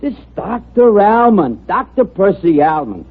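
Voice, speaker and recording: An actor playing a slow-witted, exasperated tough in old radio comedy-drama, raspy and whiny with slangy talk. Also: male voice, high-pitched, very animated, exaggerated voice. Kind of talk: 1940s-50s radio comedy-drama acting. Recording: tinny old radio recording.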